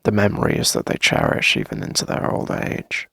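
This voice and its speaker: A man playing a tender, reassuring boyfriend in an intimate ASMR roleplay, speaking softly and close to the mic with gentle, coaxing pauses. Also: huge pitch swings, dry recording, heavily acted. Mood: soft, wistful. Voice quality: husky, breathy, creaky low murmur. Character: tender, reassuring boyfriend longing to start a family. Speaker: ASMR audio roleplay performer. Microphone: close dry microphone.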